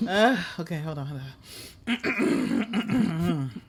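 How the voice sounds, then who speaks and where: A woman in her forties hosting a casual podcast, loud and playful, often breaking into laughter. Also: fairly low pitch, lively intonation, very slow, slight background noise. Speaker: podcast host. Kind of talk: casual chat.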